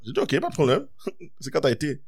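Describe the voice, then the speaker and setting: A man's voice: fairly high pitch, fairly quick, creaky, breathy edge, no room sound, clean studio sound. A man, telling stories in a casual podcast discussion and acting out the dialogue. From Quebec. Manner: expressive storyteller, acts out dialogue.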